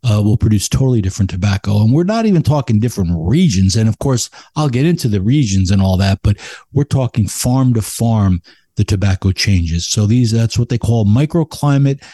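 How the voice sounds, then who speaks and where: A man's voice: fairly low pitch, expressive, fairly quick, mellow, breathy edge, no room sound, clean studio sound. A man speaking as an expert guest in a casual chat, emphatic and opinionated about his craft. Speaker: expert podcast guest, cigar blender.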